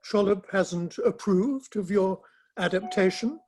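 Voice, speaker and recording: A man playing a cautious, sceptical Victorian gentleman, speaking politely and probingly in a dramatic reading. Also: high voice, slow, a little hiss or hum. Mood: sceptical, cautioning.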